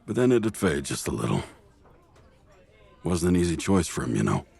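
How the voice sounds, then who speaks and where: Casting: male actor as easygoing family friend, low-pitched, playful, wry, kind-hearted, conversational drama delivery. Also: sing-song, moderate pace, vocal fry, darkish tone, slightly rough, exaggerated voice. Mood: kind, encouraging. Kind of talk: conversational audio drama dialogue.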